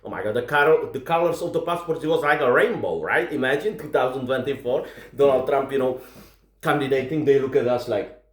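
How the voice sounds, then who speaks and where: A man's voice: mid-range voice, a little fast, echoey room. A man from the Czech Republic with a Czech accent, doing stand-up and animatedly acting out the people in his story. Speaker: stand-up comedian.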